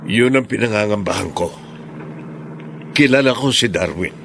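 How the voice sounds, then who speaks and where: An actor playing a stern, troubled middle-aged father in a radio drama, speaking firmly and gravely. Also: on the low side, lively intonation, moderate pace, fairly bright tone, slight background noise. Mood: worried, resigned.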